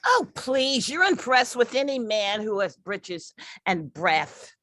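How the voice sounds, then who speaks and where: A sneaky, catty old lady played by a woman in an old-time radio comedy. Her exaggerated delivery is slowish and swoops widely, recorded close and dry. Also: fairly high pitch, big pitch swings, fairly bright tone, no echo, exaggerated voice.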